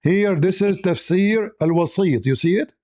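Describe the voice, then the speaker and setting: A man's voice: sits high, normal speed, a crowd in the background. A man whose first language is Arabic, hosting a heated live debate, combative and mocking, repeating himself insistently. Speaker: debate stream host.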